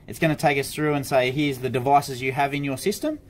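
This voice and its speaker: A man's voice: mid-range voice, steady tone, brisk. A man giving a casual hands-on product tutorial, informally talking through each step as he works at an office desk. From Australia. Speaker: product demonstrator for an intercom supplier. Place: indoor office desk area. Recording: clear background noise, room ambience.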